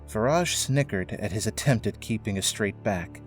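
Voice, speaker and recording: Male narrator, lowish voice, scripted storytelling for drama, soft music bed. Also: animated, normal speed. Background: faint music.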